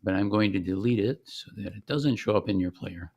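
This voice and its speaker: A man explaining software step by step in a conversational, informal product demonstration. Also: on the low side, brisk.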